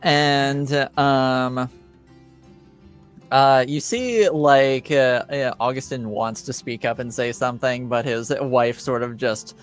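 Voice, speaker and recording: A man running a tabletop roleplaying game as game master, narrating in a halting way as he searches for words. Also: mid-range voice, fairly even intonation, smooth, faint music behind.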